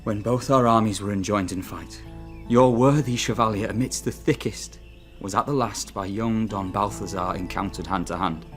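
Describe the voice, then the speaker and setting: An actor playing a loyal, solemn young Spanish soldier, speaking formal, measured verse in a radio drama. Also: male voice, on the low side, faint music behind, close to an everyday voice. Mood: solemn, mournful.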